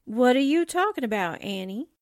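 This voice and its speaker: A woman playing an excitable, naive but caring sidekick in a radio mystery drama, expressive and exclamatory. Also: fairly high pitch, normal speed, no room sound, clean studio sound. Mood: curious.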